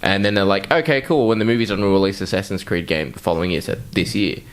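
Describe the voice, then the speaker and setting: A man with a fairly low voice hosts a casual podcast. He chats about movies and games in loose, foul-mouthed conversational language. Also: fast talker. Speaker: podcast host. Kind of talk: casual chat about films and video games.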